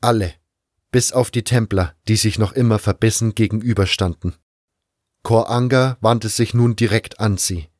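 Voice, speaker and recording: A man's voice: fairly low pitch, moderate pace, darkish tone, no room sound, studio quality. A man reading scripted first-person narration for an audio drama.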